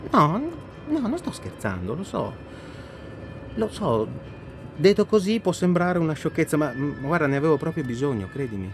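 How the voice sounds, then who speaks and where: Radio drama: elated, restless lover phoning from the road, highish swooping voice, halting repeats, music behind. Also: male voice. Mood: reassuring, earnest. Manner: halting, repeats words insistently, excitable. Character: elated, restless man phoning his beloved while driving. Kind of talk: naturalistic radio drama acting, one side of phone call.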